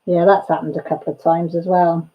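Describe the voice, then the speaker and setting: A woman's voice: on the low side, level tone, fairly quick, lo-fi sound. A middle-aged woman with a Welsh accent, hosting a casual chat and reading viewer comments aloud with giggles and playful repetition. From Wales. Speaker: channel host.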